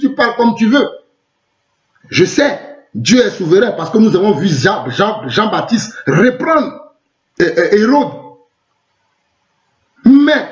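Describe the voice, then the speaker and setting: A man preaches in Togolese-accented French, his voice pitched high. He drives points home by repeating phrases and putting questions to his listeners. The sound is echoey and slightly dull, like a lo-fi live stream from a bare room. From Togo. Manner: emphatic, repeats phrases and asks rhetorical questions. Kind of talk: live online preaching with replies to comments. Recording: roomy, echoing, muffled lo-fi sound.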